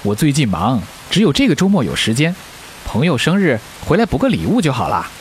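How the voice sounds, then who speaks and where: A man's voice: fairly high pitch, wildly swooping pitch, fairly quick, poor audio. An actor in a radio drama playing a stern, protective middle-aged father, lecturing firmly. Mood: firm, caring. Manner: firm, lecturing. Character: stern, protective widowed father of a teenage girl. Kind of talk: Chinese radio drama acting. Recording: faint hiss, rain-like noise.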